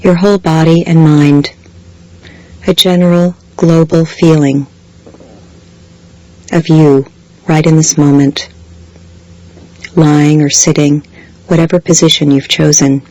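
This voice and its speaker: A woman guiding a body scan meditation in a slow, measured way, leaving long pauses between phrases. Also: low voice, fairly even intonation, a little mellow, rough recording. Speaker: meditation guide. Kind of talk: guided body scan meditation, scripted soothing instruction.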